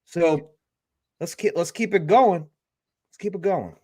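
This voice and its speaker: A man co-hosting a live sports talk show, answering in a measured, agreeable way. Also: sits high, a little mellow. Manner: measured, agreeable, wait-and-see. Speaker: co-host.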